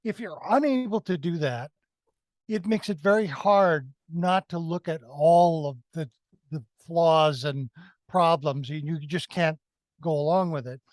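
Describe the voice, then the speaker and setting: A man's voice: on the high side, unhurried, darkish tone, no echo. A man giving a conversational film critique as a review panelist, emphatic and repeating phrases for effect.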